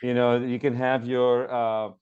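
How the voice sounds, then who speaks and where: A man's voice: mid-range voice, little pitch movement, a little slow, clean tone, phone-quality sound. A man from Lebanon, a mortgage broker guest, answering interview questions earnestly with many ums and uhs over a remote call. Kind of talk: conversational interview.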